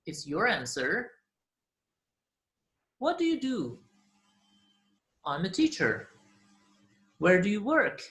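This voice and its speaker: Slow, lively-pitched man teaching English. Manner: slow, repeats model phrases and example answers. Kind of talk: classroom lesson. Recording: roomy echo.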